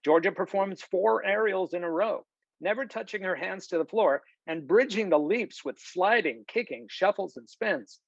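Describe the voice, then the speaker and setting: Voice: medium pitch, expressive, normal speed, creaky, no echo. A narrator reading scripted stage directions for a screenplay table read over a video call. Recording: dull, lo-fi video call audio.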